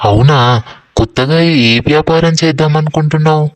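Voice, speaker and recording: A man's voice: a little high, fairly quick, no echo, close to an everyday voice. A man voicing a friendly, encouraging friend in an acted moral story. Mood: curious, encouraging.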